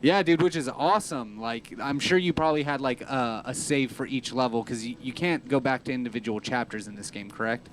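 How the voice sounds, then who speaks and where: A man co-hosting a game stream, chatting in a rambling, halting way with many fillers. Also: medium pitch, a little hiss or hum, rough recording. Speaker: stream co-host. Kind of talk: casual gaming chat.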